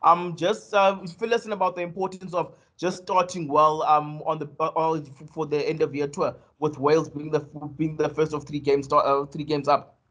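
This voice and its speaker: A male reporter putting a question to a player at a press conference. His voice sits somewhat high for a man. He hesitates and fills his gaps with ums as he works through the question. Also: little pitch movement, normal speed, fairly bright tone, lo-fi sound. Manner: hesitant, filler-heavy questions. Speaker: journalist.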